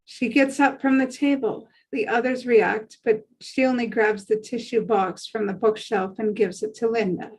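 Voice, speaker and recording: A woman's voice: on the high side, steady tone, moderate pace, few pauses, darkish tone, slightly rough, rough recording. A woman reading stage directions as scripted narration at a drama table read.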